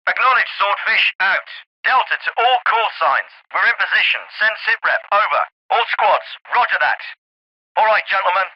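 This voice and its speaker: An actor playing an authoritative captain with a clipped, commanding delivery in a military video game. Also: male voice, sits high, expressive, moderate pace, no room sound, dull audio. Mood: focused, urgent. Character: authoritative male Royal Navy special forces captain. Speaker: military officer, squad commander. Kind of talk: scripted military game dialogue.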